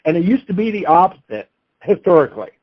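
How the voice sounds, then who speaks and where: Lively higher-voiced male sports betting analyst. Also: fast, highs cut off. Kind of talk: unscripted sports talk and analysis.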